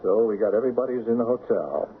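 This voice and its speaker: An actor playing a folksy middle-aged small-town American sheriff, halting and homespun, in radio drama style. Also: male voice, fairly low pitch, lively intonation, lightly acted. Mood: methodical. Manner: halting, homespun, repeats himself. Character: folksy small-town county sheriff, genial, slightly bumbling father. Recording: muffled, noisy old radio broadcast.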